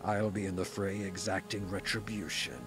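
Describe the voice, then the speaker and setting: Guy doing a grim old warrior lord from a video game, real low voice, portentous, out for revenge, music under. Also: steady tone, normal speed, lightly acted. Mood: grim, vengeful. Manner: grave, forceful, portentous. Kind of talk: dramatic video game monologue.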